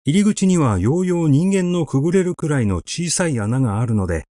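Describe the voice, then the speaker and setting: A man narrating a literary short story in a measured, expressive storytelling manner. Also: on the high side, no room sound, clean studio sound. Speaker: audiobook narrator.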